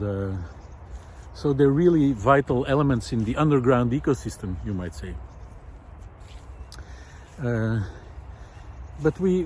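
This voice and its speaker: A man speaking in a reflective, unscripted explainer style, hesitant and thoughtful, often pausing mid-sentence as he presents. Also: mid-range voice, darkish tone. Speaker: presenter of a nature and philosophy video series. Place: outdoors in a park. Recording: outdoor recording with clear background noise.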